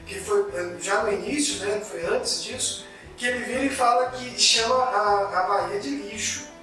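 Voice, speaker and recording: A man from Goiás in Brazil co-hosting a political talk show, talking in an indignant, halting way with many restarts. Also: sits high, sharp tone, heavy reverb, recorded from a distance, faint music behind, low-quality recording. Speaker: political commentary co-host. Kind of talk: casual political discussion.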